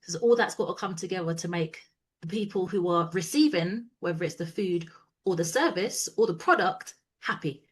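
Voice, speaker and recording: A woman, a business co-founder and interview guest, who explains her ideas in a measured, steady way. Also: on the low side, husky. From London, England. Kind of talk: casual interview chat.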